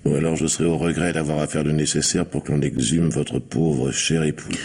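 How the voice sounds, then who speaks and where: A man's voice: extremely low pitch, fairly even intonation, brisk, gravelly, a little mellow, breathy edge, heavily acted. An actor playing a suave, domineering blackmailing businessman in a radio mystery, mock-courteous and imperious. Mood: silky threat. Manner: mocking mock-courteous, imperious when irritated. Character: sinister, suave, domineering blackmailing factory owner. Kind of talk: French radio mystery drama acting.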